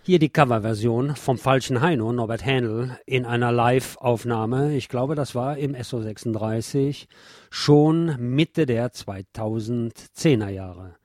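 A man presents a radio show in an informal, off-the-cuff manner. His voice sits at an average pitch, recorded dry with very little room around it. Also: unhurried, darkish tone, no echo. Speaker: radio host.

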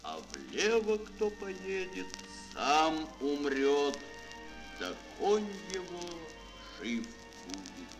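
A man tells a children's fairy tale in a highish, hugely expressive voice. He declaims dramatically at an unhurried pace, with music clearly behind him. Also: rough recording. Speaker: narrator. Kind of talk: theatrical scripted fairy-tale narration for children. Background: prominent music.

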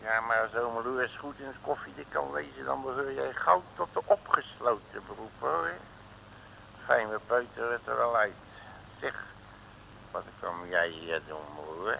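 Radio-serial detective, sly uncle type, piercingly bright and gravelly, folksy teasing, lightly noisy old recording. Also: male voice, medium pitch, unhurried, full character voice. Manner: folksy, teasing, colourful mock-oaths. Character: wily, jocular male detective, self-styled uncle.

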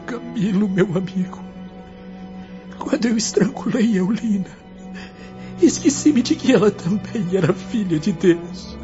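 An actor in a radio drama playing a repentant, tormented male spirit in an impassioned, declamatory way. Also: sits high. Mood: tearful despair. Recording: dull lo-fi, music behind.

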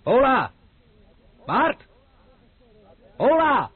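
An actor playing a bold, hot-tempered gentleman traveler in a radio play who talks in a brash, commanding way. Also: very high voice, very animated, very slow, husky, no room sound, full character voice. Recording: old phone-like noisy radio recording.

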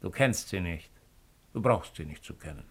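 An actor playing a cold, calculating Roman imperial agent in a radio drama, speaking in a measured, insinuating way. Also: male voice, low voice, lively intonation, husky. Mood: cool, self-assured. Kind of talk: 1950s German radio drama acting.

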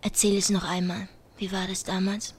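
A woman playing a yearning lover in a literary radio drama, speaking intimately in short phrases that often sink to a breathy murmur. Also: medium pitch, flat delivery, moderate pace, a little hiss or hum, lightly acted. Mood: tender, hushed. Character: intimate female lover, yearning and echoing her partner. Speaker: radio play actress.

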